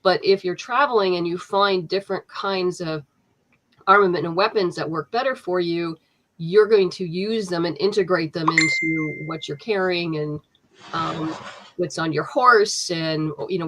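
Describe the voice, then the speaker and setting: A woman with a slightly low voice talks about her comic in a loose, chatty way, full of ums and restarts. She sounds like a guest on an online interview, speaking over a video call. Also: moderate pace, a bit bright, poor audio. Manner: chatty, many fillers and restarts, laughs easily. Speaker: comic book writer, guest. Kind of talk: casual interview chat.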